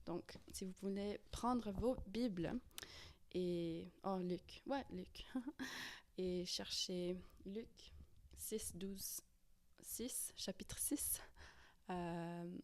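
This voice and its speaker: A young woman whose first tongue is guides a prayer and reads Scripture aloud, pausing often and slipping into whispers. Her voice is mellow and warm, and she takes her time. The sound is dry and close, with no room echo. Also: lowish voice. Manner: hesitant, many fillers and restarts, often drops to a whisper. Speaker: YouTuber leading prayer. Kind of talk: casual guided prayer and Bible reading.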